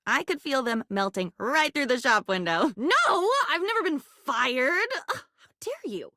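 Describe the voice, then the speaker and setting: A high-pitched, unhinged young-sounding game heroine, lonely and dreamy. Her intonation leaps and dips as she rambles in scattered, theatrical non sequiturs. The voice is recorded close and dry, with a volatile temper underneath. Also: big pitch swings, a little slow, bright, forceful tone, no room sound, full character voice. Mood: agitated, upset. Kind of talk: video game character voice acting.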